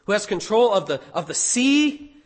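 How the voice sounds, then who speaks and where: A hoarse man preaching a sermon as pastor, animated, with rhetorical questions. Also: high-pitched, normal speed, in a large hall.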